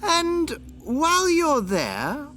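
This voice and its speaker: A woman playing a commanding, scheming elder vampire regent in an audio drama, formal and theatrical with drawn-out emphasis. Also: sits high, huge pitch swings, fairly bright tone, faint music behind, exaggerated voice. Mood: coaxing.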